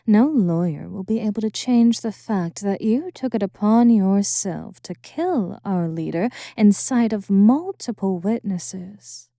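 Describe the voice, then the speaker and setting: A woman playing a haughty, composed vampire noblewoman in an ASMR roleplay, speaking formally and condescendingly in a soft voice that often drops toward a whisper. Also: fairly low pitch, dry recording, studio quality. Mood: stern, aloof. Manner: formal, aloof, condescending, deliberate. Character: haughty, composed vampire noblewoman, stern captor with teasing edge. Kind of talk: ASMR roleplay audio drama.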